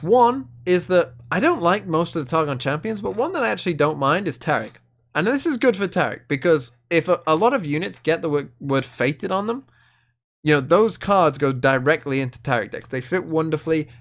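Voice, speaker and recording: A young man casually talking through new cards for a card game, thinking aloud with lots of hedges and fillers. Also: on the high side. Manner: chatty, hedging, thinking aloud with many fillers. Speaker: card game video host. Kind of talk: casual card review commentary.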